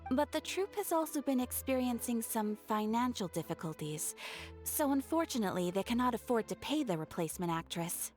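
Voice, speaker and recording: A woman's voice: a little high, big pitch swings, a little fast, a little mellow, soft background music. A woman voicing a polite, helpful female receptionist explaining a job in a video game. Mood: informative.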